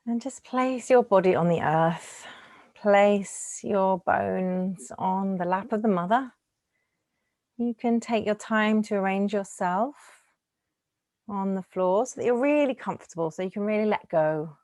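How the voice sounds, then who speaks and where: A woman teaching yoga nidra, guiding relaxation in a gentle, soothing, unhurried manner with lingering pauses. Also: mid-range voice, no echo, clean studio sound. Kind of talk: guided relaxation meditation.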